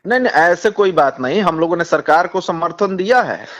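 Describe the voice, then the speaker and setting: A man with a Bihari accent speaking as a politician in a phone interview, insistent, repeating himself and halting as he argues. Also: sits high, animated, a bit bright. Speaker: communist party politician. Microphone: phone call. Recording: dull lo-fi phone call recording.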